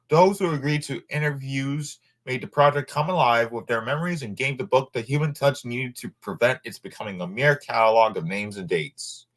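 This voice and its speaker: A man reading a nonfiction book aloud informally, sometimes halting over words and adding asides. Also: mid-range voice, fairly bright tone.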